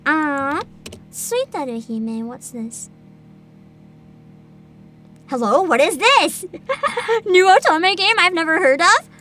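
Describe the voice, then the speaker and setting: High, bright-voiced giggly woman streamer. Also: slow, pauses often, soft background music. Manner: giggly, expressive, interjecting. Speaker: VTuber livestream host. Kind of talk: casual livestream chat with viewers.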